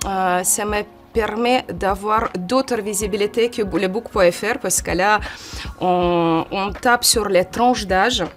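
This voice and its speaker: Femme de quarante ans, ukrainien, interviewée, parle en cherchant ses mots, voix de hauteur moyenne. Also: female voice, mid-range voice. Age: 40s. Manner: hesitant, many "euh" fillers, lively when acting out quotes. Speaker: model, interview guest. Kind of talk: casual interview answers.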